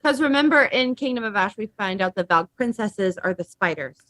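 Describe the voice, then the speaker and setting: A woman's voice: mid-range voice, animated, a little fast, fairly bright tone, no echo. A woman on a book discussion panel, explaining details in a casual, assured way.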